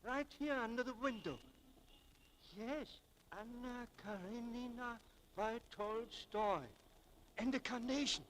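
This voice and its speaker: An actor playing a kindly, hesitant, stammering coworker and loyal friend in a 1940s radio drama, on a noisy old recording. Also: male voice, very high-pitched, slow, a bit bright, full character voice. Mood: hesitant, excited.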